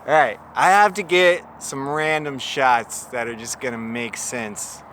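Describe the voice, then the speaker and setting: A man's voice: medium pitch, unhurried, a bit bright, a little hiss or hum. A man talking informally to his vlog audience as a video creator, candid and self-critical. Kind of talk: casual self-filmed vlog.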